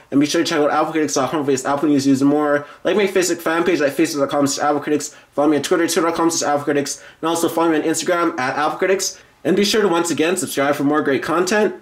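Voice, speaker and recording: A man giving an informal, explanatory product demo as a tech reviewer. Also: on the high side.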